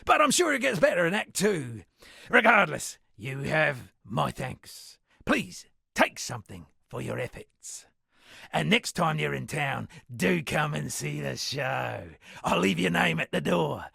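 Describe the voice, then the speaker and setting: Gravelly, theatrical shipwrecked sea captain. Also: male voice, fairly high pitch, big pitch swings, measured pace, pushed and bright, no echo, studio quality, full character voice. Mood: wistful, wry. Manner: florid, dramatic, alliterative declaiming. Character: eccentric male shipwrecked sea captain and pompous amateur poet. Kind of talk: theatrical video game character dialogue.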